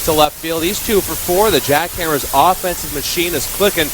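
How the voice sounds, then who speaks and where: A man's voice: on the high side, moderate pace. A man giving color commentary on a live baseball radio broadcast in explanatory, flowing sentences, with static on the recording. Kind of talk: live radio sports analysis. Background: clear hiss and static.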